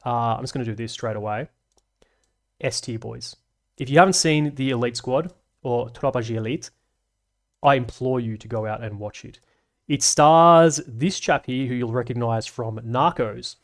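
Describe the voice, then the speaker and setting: A man's voice: medium pitch, moderate pace. A young man hosting a live stream, rambling and swearing casually as he reads chat and laughs along.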